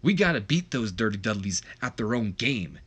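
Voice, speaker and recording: A man's voice: lowish voice, very animated, moderate pace, a bit bright, husky, full character voice. Man acting a determined, insistent wrestler urging his partner. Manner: insistent, emphatic, confessional. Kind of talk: acted wrestling promo.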